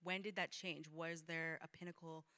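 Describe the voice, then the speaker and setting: A woman hosting an interview, asking curious questions in a soft, easy way. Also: sits low, little pitch movement, normal speed, no echo, clean studio sound. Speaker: interviewer host. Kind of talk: hosted interview.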